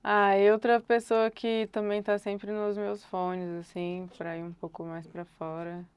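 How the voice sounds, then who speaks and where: A young Brazilian woman, a singer-songwriter, answering interview questions thoughtfully and unhurriedly, often pausing on filler words. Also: mid-range voice, little pitch movement, smooth, no room sound. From Brasília, Brazil.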